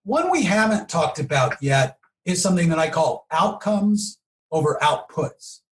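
Man, fairly high pitch, conversational, explanatory, expert podcast guest, rhetorical check-ins. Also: lively intonation, measured pace. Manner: explanatory, punctuates points with "right?" and rhetorical questions.

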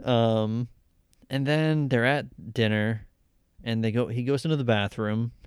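A man's voice: mid-range voice, unhurried, smooth, no room sound, studio quality. A man casually chatting about a movie, joking and laughing as he retells scenes. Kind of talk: casual movie review chat.

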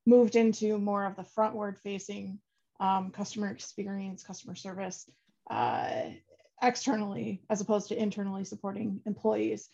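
A woman's voice: mid-range voice, moderate pace, creaky, mellow, dull audio. A woman from the United States, a podcast guest, talking in an upbeat, chatty way with many fillers. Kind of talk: casual interview talk.

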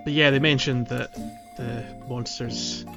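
A man's voice: medium pitch, steady tone, measured pace, music in the background. A man hosting a podcast, chatting casually and thinking aloud as he goes. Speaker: podcast host. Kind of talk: casual gaming podcast chat.

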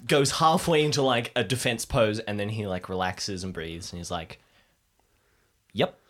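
A man's voice: fairly low pitch, moderate pace, a bit bright. A man taking part in an improvised tabletop drama, chatting casually and narrating his character's actions. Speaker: player in improvised audio drama. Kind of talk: casual chat and in-game narration.

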